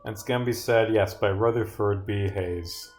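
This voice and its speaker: A man's voice: fairly low pitch, normal speed, darkish tone, faint music behind. A man chatting casually on a livestream as a game developer, thinking aloud off the cuff as he works. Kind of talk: casual livestream chat while working.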